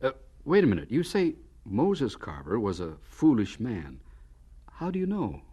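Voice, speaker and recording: An actor playing a polite, curious narrator who puts probing questions deliberately in a radio drama. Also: male voice, medium pitch, wildly swooping pitch, dark tone, breathy edge, full character voice. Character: polite, curious male narrator interviewing an old man. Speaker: narrator and interviewer.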